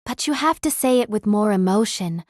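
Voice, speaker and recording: A woman plays a cool young senpai who speaks flatly and coldly, making demands without warmth. Her mid-range voice sits close and dry in an audio drama. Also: mid-range voice, normal speed, no echo, lightly acted. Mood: deadpan. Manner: flat, cold, demanding. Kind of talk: amateur audio drama acting.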